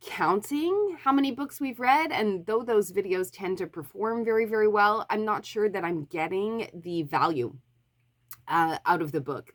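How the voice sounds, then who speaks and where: A woman with a head cold chats to her camera about books in a low-set voice that sounds a little stuffy. She wanders through her points with plenty of ums and self-corrections. The tone is informal and conversational. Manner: rambling, self-correcting, many fillers. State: has a cold, slightly nasal. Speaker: book YouTuber. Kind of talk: casual chat to camera.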